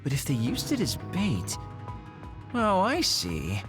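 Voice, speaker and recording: A sardonic young male lone wolf from an anime game, wry and sly, with very lively swings in pitch. Music plays clearly behind him. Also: slow pace. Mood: incredulous, sly. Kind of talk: anime game English dub acting.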